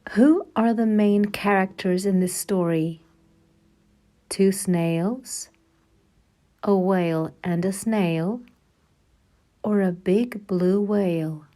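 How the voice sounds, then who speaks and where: A woman reading aloud to young children in an expressive, deliberate storytelling manner. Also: medium pitch. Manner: deliberate, addressing young listeners with questions. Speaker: storybook reader and language assistant. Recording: background music track. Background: instrumental music backing.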